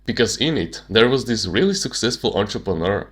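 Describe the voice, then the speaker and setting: Young man, very low pitch, casual slangy storytelling. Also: very animated, brisk. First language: Slovak. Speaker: self-improvement channel host. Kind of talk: personal storytelling monologue.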